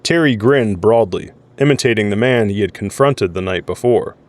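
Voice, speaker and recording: Low-pitched male audio drama narrator. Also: moderate pace.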